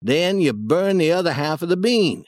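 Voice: mid-range voice, animated, normal speed, no room sound, studio quality, pitched above the performer's own voice, close to an everyday voice. A narrator voicing an easygoing, folksy village boy who explains things plainly and confidently in a children's audiobook. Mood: confident, instructive.

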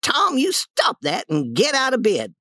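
An anxious old aunt as an adult narrator puts her on, very high and swooping. She is fussy and stern, exclaiming fretfully in storybook fashion. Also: wildly swooping pitch, a little slow, pushed and bright, no room sound, clean studio sound, exaggerated voice. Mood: scolding. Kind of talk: children's audiobook character voice.

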